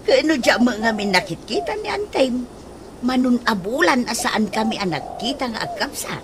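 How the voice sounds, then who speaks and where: Talkative Ilocano Filipino mother, lively high voice, radio drama, noisy background. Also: moderate pace. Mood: chatty. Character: chatty mother answering her daughter.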